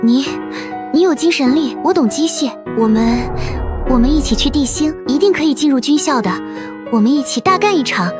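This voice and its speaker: A woman's voice: sits high, lively intonation, brisk. A young actress playing an earnest, spirited young woman from a family of mechanics, over background music. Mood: hopeful. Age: young adult. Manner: earnest, urgent. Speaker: heroine. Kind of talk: animated drama dubbing.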